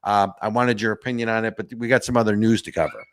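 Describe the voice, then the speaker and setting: A man's voice: on the low side, steady tone, fast talker, dry recording. A man hosting a casual political talk show, rambling with frequent restarts and asking "right?" and "you know". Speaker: host.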